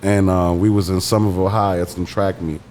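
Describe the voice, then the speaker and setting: A man's voice: sits low, little pitch movement, brisk, darkish tone, a little hiss or hum. A young man from Boston, a rapper guest telling stories from his youth in a laid-back, slangy, playful way. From Massachusetts, raised in Boston. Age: young adult. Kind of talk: casual interview storytelling.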